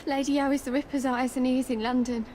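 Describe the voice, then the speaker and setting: An actress playing a frightened, hunted London prostitute in a video game, pleading in a hushed, breathy way. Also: female voice, high voice, little pitch movement, fast talker, slight background noise, lightly acted. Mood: anxious. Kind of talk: video game cutscene acting.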